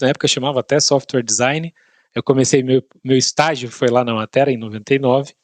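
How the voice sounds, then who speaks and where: A man of around forty with a Brazilian Portuguese accent, hosting an online tech event in a relaxed, informal way and asking viewers for quick okays. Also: medium pitch, no room sound. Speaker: webinar host.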